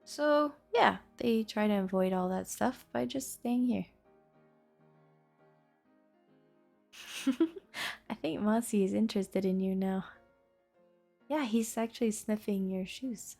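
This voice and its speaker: A woman acting an affectionate, playful young girlfriend in an intimate audio roleplay, speaking softly and chattily. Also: medium pitch, measured pace, creaky, faint music behind. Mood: fond, amused. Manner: soft, tender, chatty with teasing asides.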